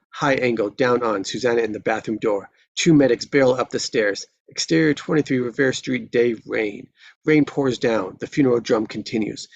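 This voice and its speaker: A man narrates screenplay action with a husky, creaky voice at a middle pitch. His phrasing is terse and deliberate, suited to dramatic scripted narration. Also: dry recording, dull audio. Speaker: stage directions narrator.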